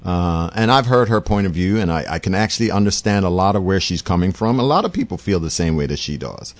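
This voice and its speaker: A middle-aged man hosting a radio call-in show, listening warmly to callers and then reflecting at length. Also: deep voice, animated, fast, no echo. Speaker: radio talk host.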